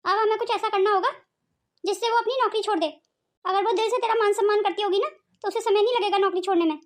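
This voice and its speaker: A woman's voice: extremely high pitch, fairly even intonation, fast talker. A woman voicing a bossy, suspicious, meddling older sister in a Hindi cartoon family drama, commanding and insistent.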